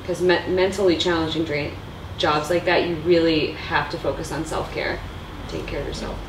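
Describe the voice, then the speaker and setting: A woman's voice: sits low, steady tone, moderate pace. A woman talking conversationally in a group discussion. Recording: echoey room, distant mic, clear noise.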